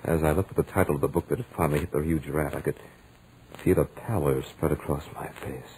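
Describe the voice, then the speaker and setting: An actor playing a studious, stubborn young lodger with dry humour, in measured, dramatic 1940s radio horror style. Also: male voice, very deep voice, a little mellow, a little hiss or hum, poor audio. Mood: shaken. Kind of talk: 1940s radio horror acting, first-person narration. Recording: old radio drama recording with music.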